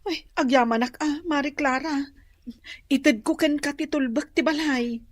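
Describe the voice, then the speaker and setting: Very high-voiced, blunt wife played by an actress. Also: female voice, unhurried, dry recording. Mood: grateful. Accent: Ilocano. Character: blunt, worn wife minding her hospitalized husband. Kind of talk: Ilocano radio drama acting.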